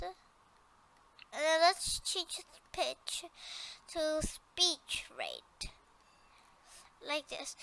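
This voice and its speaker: A woman with a very high voice presents an informal step-by-step software tutorial. She speaks slowly and hesitantly, often restating an instruction before moving on. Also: rough recording.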